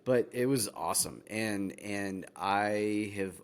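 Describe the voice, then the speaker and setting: A man's voice: lowish voice, slow pace, clean tone. A man co-hosting a casual gaming podcast, rambling easily with many ums and uhs. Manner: rambling, many fillers, easygoing. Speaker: podcast co-host.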